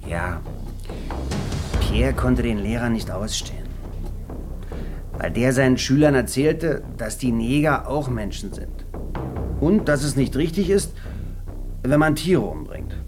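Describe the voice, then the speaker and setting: A man's voice: medium pitch, lively intonation, takes it slowly, pauses often, over music. A man playing a sly, servile and self-righteous informer in a German radio drama, hesitant and obsequious. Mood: eager, confiding.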